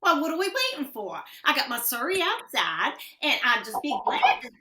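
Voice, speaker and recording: A woman playing a proud, pushy small-town wife in a radio western, folksy and sharp-tongued. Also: sits high, very animated, normal speed, echoey room, exaggerated voice. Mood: gushing. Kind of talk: old-time radio western acting.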